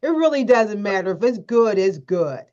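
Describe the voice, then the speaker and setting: A woman hosting a TV interview in a studio, chatty and quick to laugh. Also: medium pitch, big pitch swings, dark tone, highs cut off. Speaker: TV show host.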